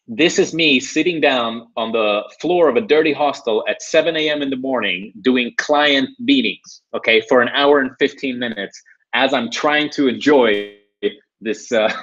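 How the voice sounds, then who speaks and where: A man's voice: mid-range voice, fairly bright tone. A young man from Sweden hosting a live webinar, talking casually with lots of "you know" and "right". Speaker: webinar host. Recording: dull lo-fi webinar audio.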